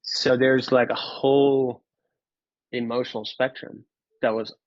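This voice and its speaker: Halting man, dull close recording. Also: medium pitch, level tone, no room sound. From Finland. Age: 30s. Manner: halting, repeats words and pauses often; candid, casually profane. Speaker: podcast guest. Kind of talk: reflective personal storytelling in an interview.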